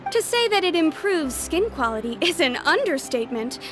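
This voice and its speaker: A bright, formal product announcer. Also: female voice, high-pitched, very animated, moderate pace. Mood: enthusiastic. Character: upbeat female event presenter. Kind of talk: video game voice acting. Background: game music.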